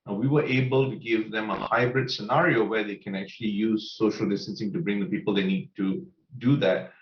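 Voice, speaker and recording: A man's voice: fairly low pitch, muffled recording. Man on a roomy, distant call line. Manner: confident, explanatory, tags with "right?". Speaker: panelist from a video-call firm. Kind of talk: webinar panel answer.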